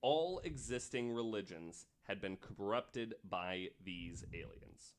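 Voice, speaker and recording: Low-pitched man presenting a podcast. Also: expressive, slow. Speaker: podcast host. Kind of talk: casual podcast storytelling from research notes.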